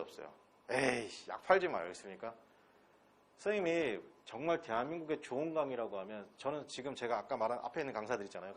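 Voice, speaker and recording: A man with a Gyeongsang accent from Pohang giving a classroom lecture as an English instructor. He talks bluntly and casually, with crude slang, and keeps throwing rhetorical questions at his students. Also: mid-range voice. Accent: Gyeongsang dialect. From Pohang, South Korea. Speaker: English instructor at a transfer-exam academy.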